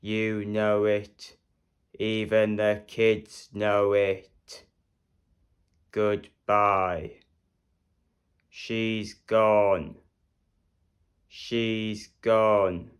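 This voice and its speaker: A man playing a melodramatic, distraught husband whose manner is anguished, in a loud theatrical monologue. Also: fairly low pitch, level tone, drawn-out words, pauses often, clear, resonant tone. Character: distraught, melodramatic middle-aged Broadway husband, abandoned.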